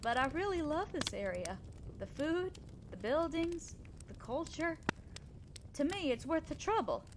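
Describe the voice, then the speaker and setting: A woman playing a kind, selfless, self-doubting female centaur in a roleplay audio drama, speaking earnestly, gently and hesitantly to the listener. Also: high voice, animated, a little slow, background noise.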